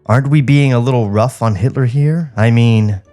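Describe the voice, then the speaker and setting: A man's voice: medium pitch, moderate pace, warm tone, faint music behind, lightly acted. A man playing a cautious, furtive studio boss in a comic script read.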